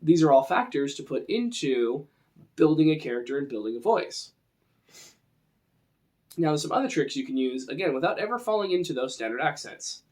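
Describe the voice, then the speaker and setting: A man's voice: fairly high pitch, sing-song, normal speed, a little mellow. A man presenting an informal tutorial on role-playing to camera, talking in a casual, explanatory way.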